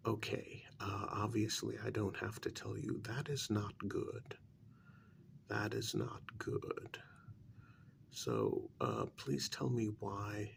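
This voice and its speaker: A man playing a blunt, put-upon vampire counselor in a soft-spoken ASMR role-play, breathy and often near a whisper, halting and formal. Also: on the low side, exaggerated voice. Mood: measured, blunt.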